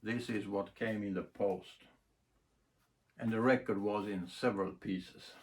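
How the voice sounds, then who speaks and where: A man giving a casual, unscripted demonstration, thinking aloud in short, halting phrases. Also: lowish voice, fairly even intonation. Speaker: record collector presenting a demonstration. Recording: clear background noise, clicks.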